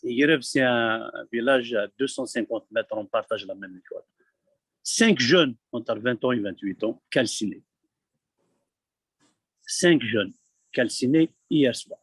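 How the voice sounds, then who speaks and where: A man from Kabylie speaking abroad, giving an urgent, halting testimony full of repeated words. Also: medium pitch, a bit bright, breathy edge, dry recording. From Kabylie, Algeria. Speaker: community member abroad. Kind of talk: impassioned testimony.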